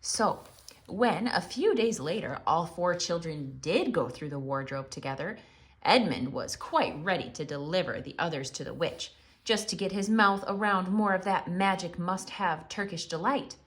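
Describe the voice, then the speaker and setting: A woman narrating a children's story theatrically, with expressive, dramatic emphasis. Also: fairly low pitch, moderate pace.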